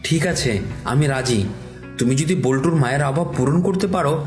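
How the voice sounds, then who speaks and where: A man's voice: medium pitch, brisk, natural voice. A man voicing a mild, anxious schoolmaster father in a cartoon, earnest and hesitant. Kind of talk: animated cartoon story dialogue. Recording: echoey, with music behind.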